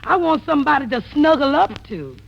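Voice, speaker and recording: A woman's voice: high voice, sing-song, a little fast, gravelly, heavily acted. Sassy girlfriend, muffled old radio. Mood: sassy, defiant. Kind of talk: 1940s radio comedy acting. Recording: dull old radio broadcast.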